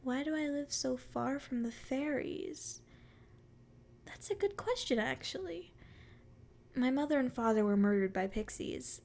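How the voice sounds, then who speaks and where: Woman voicing a high-pitched, sweet forest fairy. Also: lively intonation, a little slow, creaky, darkish tone, full character voice. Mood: wistful.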